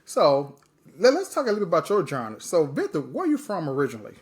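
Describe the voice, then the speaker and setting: A man from Mississippi, hosting a podcast interview in a lively, encouraging, conversational way. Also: fairly high pitch, quick pace. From Mississippi, USA. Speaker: podcast host.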